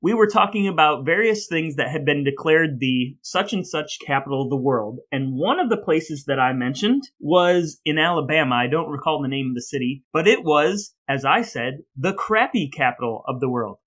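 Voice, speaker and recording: Higher-pitched man hosting a podcast. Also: expressive, normal speed, few pauses, a bit bright. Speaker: podcast host. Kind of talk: scripted podcast intro and casual banter.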